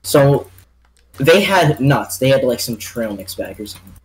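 A man's voice: medium pitch, animated, moderate pace, crowd noise, low-quality recording. A young man co-hosting a podcast, joking, teasing and telling stories in casual chat. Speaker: podcast co-host.

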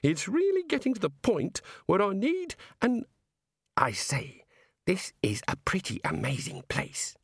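A man's voice: high-pitched, wildly swooping pitch, unhurried, creaky, slightly rough, dry recording, clean studio sound, voice pushed up, heavily acted. A man reading a story aloud and voicing a curious, oblivious male creator god who asks question after question. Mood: eager curious. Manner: chatty, eager, inquisitive.

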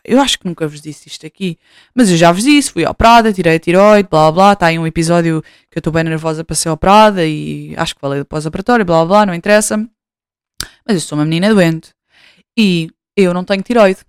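A woman's voice: on the low side, clean tone, no room sound. Woman in her twenties, European Portuguese accent, rambling casual podcast chat, dry close sound. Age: 20s. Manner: rambling, swears freely, self-mocking. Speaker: podcast host.

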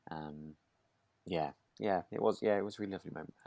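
A man's voice: low-pitched, fast talker, dark tone, no room sound. A man co-hosting a podcast, chatting casually and agreeably in a rambling, hesitant way. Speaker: podcast co-host. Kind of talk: relaxed casual discussion of a radio drama.

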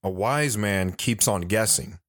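A man's voice: fairly low pitch, a little slow, a bit bright, dry recording, studio quality. A man playing an earnest, guilt-ridden soldier, narrating his hard life in a sober, plain-spoken way for an audio drama. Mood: regretful. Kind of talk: dramatic first-person narration.

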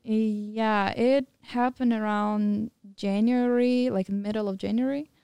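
A woman's voice: fairly high pitch, steady tone, slow pace, gravelly, warm tone, clean tone, no room sound. A young woman, a podcast guest of Mongolian and German background, candidly telling her story in casual chat with many fillers. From Mongolian-German, living in Thailand. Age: young adult.

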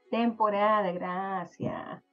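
A woman's voice: medium pitch, fairly even intonation, takes it slowly, lo-fi sound, soft background music. A Chilean woman hosting a podcast interview, chatting casually and hesitantly with frequent fillers and laughs. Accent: Chilean Spanish. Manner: hesitant, mixes in English words, laughs often. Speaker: podcast host.

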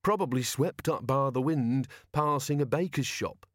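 A man's voice: mid-range voice, normal speed, no echo, clean studio sound, voice pushed up, close to an everyday voice. Man as a sneering, muttering sceptic constable. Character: dour, irritable, sceptical male constable who debunks miracles.

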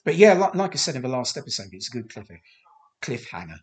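Croaky man, rambling podcast host. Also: mid-range voice, very animated, fast. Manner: rambling, hesitant, many fillers and restarts; self-deprecating. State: slightly croaky voice. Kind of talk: casual film commentary.

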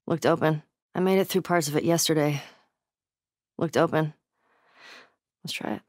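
A woman's voice: low voice, normal speed, no echo, studio quality, natural voice. A young woman playing a tough, foul-mouthed, wry survivor in a video game, muttering in terse, conversational asides. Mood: wry, matter-of-fact. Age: young adult. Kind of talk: video game voice acting, naturalistic.

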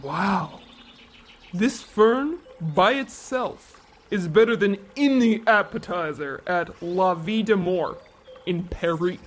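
A man's voice: high-pitched, lively intonation, slow pace. An actor playing a pretentious male gourmet in a comedic audio drama, savoring each phrase. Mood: impressed. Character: snobbish, impressed male gourmet guest.

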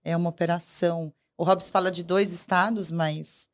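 Female sales partner, low register, animated storyteller, casual podcast guest. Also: normal speed. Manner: lively storytelling, self-correcting.

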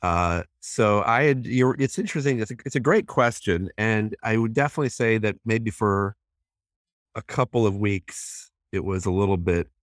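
A man's voice: lowish voice, normal speed, no echo, clean studio sound. A man in his sixties, a guest on a podcast, giving thoughtful conversational answers with frequent fillers and self-corrections. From born in Hong Kong, raised in US. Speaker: guest, president of a human rights group.

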